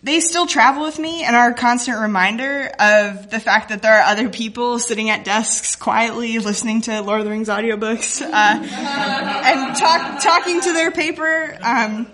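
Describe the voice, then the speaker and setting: A woman with a very bright, slightly high voice shares a personal story with a live audience. She speaks as a guest storyteller, with a wry and comic touch to her delivery. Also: normal speed.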